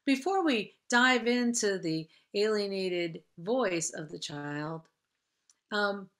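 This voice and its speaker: A middle-aged woman hosting in a measured, formal way, as if presenting from a script. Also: mid-range voice, a bit bright. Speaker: host, counsellor.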